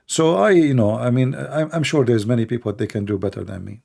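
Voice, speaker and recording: Gravelly Arabic-accented man, halting speech. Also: mid-range voice, dark tone. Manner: halting, many fillers and restarts, blunt and opinionated. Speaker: livestream debate host. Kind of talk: casual unscripted talk answering questions.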